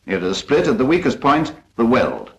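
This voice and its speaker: Lively-pitched British 1940s training film narrator, male. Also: moderate pace. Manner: formal, precise, instructive.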